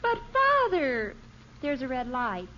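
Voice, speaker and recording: A woman's voice: high voice, huge pitch swings, slow pace, sharp tone, heavily acted. A woman playing an earnest, chattering American teenage girl who wheedles her father in a 1950s radio sitcom. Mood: gushing, wheedling. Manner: breezy, earnest, gushing. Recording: dull, hissy old radio recording.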